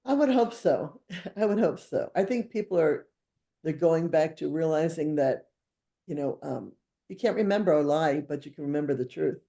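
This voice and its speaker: A man's voice: on the high side, lively intonation, moderate pace, gravelly, mellow. A man co-hosting a conversation, speaking earnestly and supportively about a guest. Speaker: co-host, event booker. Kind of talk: casual conversation.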